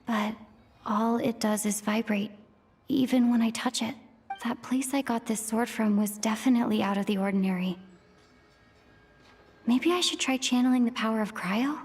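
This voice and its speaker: An actress playing a thoughtful, curious young adventurer heroine in a video game, musing aloud to herself. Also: female voice, fairly high pitch, normal speed, pauses often, a little mellow, natural voice. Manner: pensive, thinking aloud. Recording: long reverb, music behind.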